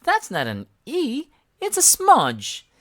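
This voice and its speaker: A cheeky little storybook girl, acted by a woman, stubborn and blunt. The voice is pitched well up and bounces around as she insists. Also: wildly swooping pitch, slow pace, a bit bright, heavily acted. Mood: defiant. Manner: contrary, insistent.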